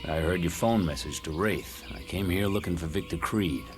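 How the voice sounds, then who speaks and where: A man plays a tough superhero in a video game. His voice sits very low and gruff, with terse, sardonic phrasing. Music plays clearly behind him. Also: normal speed, lightly acted. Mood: guarded, sardonic.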